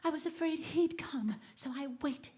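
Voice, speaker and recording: Woman acting a high-voiced, earnest young heroine. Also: normal speed, darkish tone, natural voice. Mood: anxious defiance. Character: brave, earnest young woman confronting villains. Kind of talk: theatrical radio drama acting.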